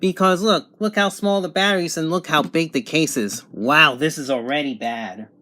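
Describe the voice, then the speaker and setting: A man giving a casual, unscripted product review at home, thinking aloud in halting phrases. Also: fairly high pitch, sharp tone, slight background noise. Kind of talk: casual unboxing and review narration. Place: home bedroom, indoors.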